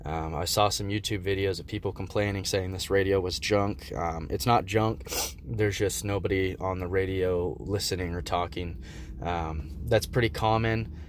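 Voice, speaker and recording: Male amateur reviewer, deep register, easygoing and hesitant, unscripted, slight background noise. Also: fairly even intonation, normal speed. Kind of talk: casual unscripted product review.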